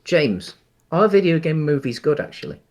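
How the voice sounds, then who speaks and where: A man co-hosting a casual film discussion, talking in a hesitant, rambling way with halting phrasing. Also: a little high, lively intonation. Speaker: podcast co-host.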